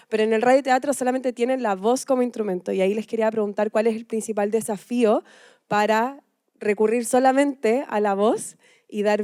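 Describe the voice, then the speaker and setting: A woman's voice: on the high side, mellow, clear, resonant tone, no room sound, clean studio sound. A woman with a Chilean accent speaking conversationally as an audience member with a question. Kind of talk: casual, conversational.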